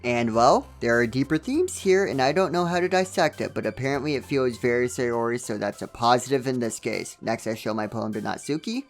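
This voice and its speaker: A man's voice: mid-range voice, animated, fairly quick, few pauses. A man narrating a comedic game review with sarcastic, self-deprecating jokes. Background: music.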